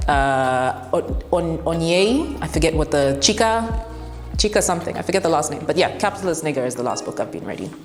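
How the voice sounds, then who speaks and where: A young woman with a very deep, commanding voice speaks frankly, laughing between answers. The room is echoey, with a long reverb tail and faint music behind her. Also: sing-song, a little fast. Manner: blunt, laughs often. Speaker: broadcast journalist and TV presenter. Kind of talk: interview answers, relaxed and frank.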